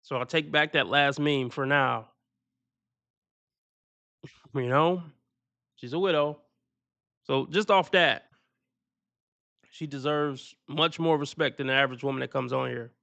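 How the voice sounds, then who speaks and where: Gravelly man doing blunt stream commentary. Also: medium pitch, measured pace, pauses often, pushed and bright, no echo, dull audio. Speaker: livestream host reacting to clips. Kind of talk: casual reaction commentary.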